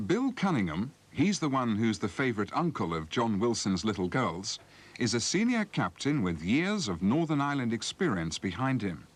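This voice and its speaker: A British man delivering measured, explanatory scripted narration for an instructional film. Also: medium pitch, very animated. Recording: slightly noisy 1980s film soundtrack.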